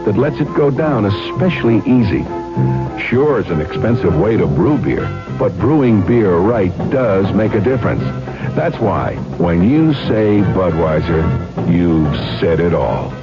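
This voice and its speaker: Very deep, animated male ad announcer. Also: unhurried. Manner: conversational, instructive. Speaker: commercial announcer. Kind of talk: folksy 1970s radio ad read. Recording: muffled 1970s radio ad. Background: loud music.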